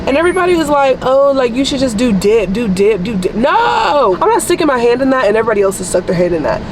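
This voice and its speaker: A young woman vlogging casually to camera, chatty and rambling, her voice deeper than usual after losing it, with air conditioning humming around her. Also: sing-song, quick pace. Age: young adult. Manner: chatty, rambling, says y'all a lot. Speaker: vlogger, nursing student. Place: coffee shop and car. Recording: noisy background with air conditioning.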